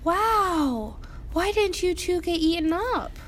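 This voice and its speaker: A plucky, excitable little pig from a children's storybook, acted out by a woman. The voice is extremely high and very slow, with playful, exclamatory lines that leap up and down. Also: a little hiss or hum, exaggerated voice. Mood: excited, curious.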